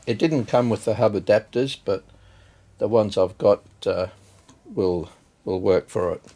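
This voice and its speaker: A man giving a casual, unscripted hands-on demonstration as a hobbyist video host, hesitant and halting as he thinks aloud. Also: lowish voice, dark tone.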